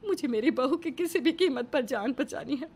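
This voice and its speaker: Woman acting a middle-aged mother-in-law, firm, protective, emphatic, higher-pitched, cartoon family drama. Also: expressive, moderate pace, a little mellow. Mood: defiant, pleading. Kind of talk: Hindi cartoon family melodrama.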